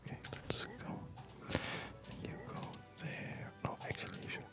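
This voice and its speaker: A person whispering ASMR commentary while playing a game, breathy and unhurried, murmuring as if to themselves. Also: over loud music. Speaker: gamer narrating own play.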